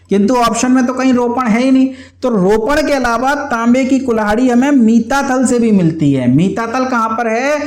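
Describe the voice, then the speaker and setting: A man teaching an exam lesson in a didactic way, posing questions and answering them himself, repeating key points. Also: sits high. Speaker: history teacher for exam students. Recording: echoey, roomy sound.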